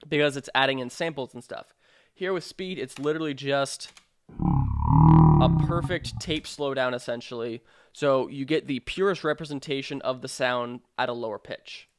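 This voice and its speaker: A man narrating a software tutorial in an informal, explanatory way with hesitant phrasing. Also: medium pitch, moderate pace, clear, resonant tone, no echo. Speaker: sound design tutorial presenter.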